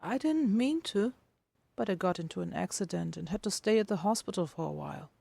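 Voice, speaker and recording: A woman plays a gentle human in a fan-fiction romance, unafraid and reassuring. The voice is soft with a creaky edge and tender delivery, recorded close and dry. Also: medium pitch, lively intonation, brisk, dry recording, heavily acted. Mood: apologetic warmth.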